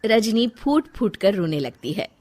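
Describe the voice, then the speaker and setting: A woman narrating a scripted story for an animated moral tale. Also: medium pitch, measured pace.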